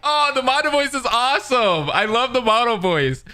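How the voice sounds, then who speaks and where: A man reading story narration aloud and breaking into casual, excitable reactions as a commentator. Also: very high-pitched, moderate pace, faint music behind. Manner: excitable, expressive.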